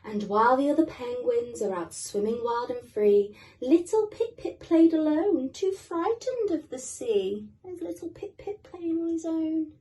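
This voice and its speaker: A woman's voice: sits high, lively intonation, a little slow, few pauses, mellow, recorded from a distance, poor audio. A British woman reading a children's storybook expressively, with asides to young listeners. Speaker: guest reader.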